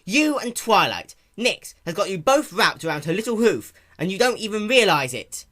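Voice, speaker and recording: A man performs a young male dragon from a cartoon fan story, pitched high and lively. He is stubborn, boastful and petulant, with an animated, insistent delivery. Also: moderate pace, heavily acted. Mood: angry, accusing.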